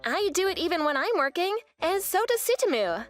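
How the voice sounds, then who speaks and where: A woman voicing a brisk, bossy sister-in-law who lectures in a manga dub. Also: very high voice, sing-song, faint music behind, pitched above the performer's own voice. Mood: scolding.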